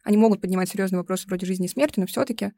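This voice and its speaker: A young woman talks at a very rapid clip in a casual podcast discussion. She explains her opinions articulately, in a dry recording with no room sound. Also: mid-range voice, warm tone, no room sound. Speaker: podcast co-host.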